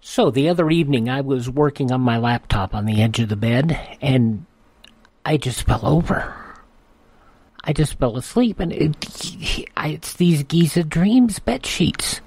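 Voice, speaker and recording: A man's voice: medium pitch, unhurried, darkish tone, slightly rough, dry recording. A man announcing and reading ads on a radio program, casual and chatty. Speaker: station announcer.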